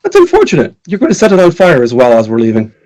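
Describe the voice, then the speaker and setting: A man playing a cold, commanding vampire enforcer in a tabletop game, giving clipped, calm orders. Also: a little high, quick pace. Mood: menacing, controlled.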